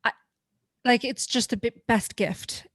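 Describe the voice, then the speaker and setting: A soft-spoken woman in her twenties, a guest in a casual chat, who laughs often. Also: fairly high pitch, a little slow, no room sound, studio quality. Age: 20s.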